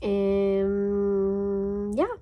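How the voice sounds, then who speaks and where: A woman host chats casually about her finished coloring pages, voice stuffy and congested from allergies. She rambles a little, with plenty of ums and self-corrections, at a middling pitch. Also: monotone, drawn-out words, highs cut off.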